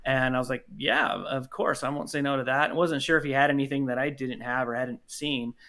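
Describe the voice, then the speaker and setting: Bright-voiced man chatting as guest. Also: medium pitch, steady tone, brisk, creaky. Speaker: podcast guest, audio drama creator. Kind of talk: casual interview chat.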